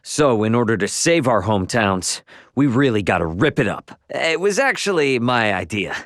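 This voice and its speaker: A man's voice: medium pitch, big pitch swings, normal speed, a bit bright, no room sound, studio quality. An actor playing an easygoing, cocky young male starship pilot and swordsman in a video game, with breezy, slangy, informal delivery. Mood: casual, thoughtful.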